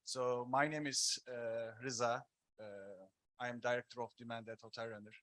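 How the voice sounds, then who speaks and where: A man's voice: medium pitch, little pitch movement, moderate pace, a bit bright. Hesitant man panelist quizzing the audience. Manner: hesitant, with many fillers, engages audience with questions. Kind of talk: panel talk.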